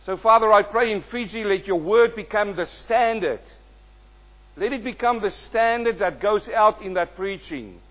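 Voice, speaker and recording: A male guest preacher delivering a sermon and prayer, quizzing the congregation and swelling loud in prayer before dropping soft. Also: sits high, normal speed, lo-fi sound. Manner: calls out questions to the congregation.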